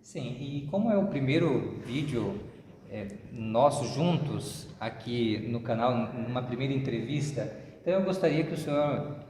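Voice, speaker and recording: A man's voice: mid-range voice, animated, moderate pace, a little mellow. A man with a Brazilian accent, a native Portuguese speaker, hosting an interview and mixing his two languages conversationally. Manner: mixes Portuguese words into Spanish. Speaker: interviewer, channel host. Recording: echoey reverberant room with background noise.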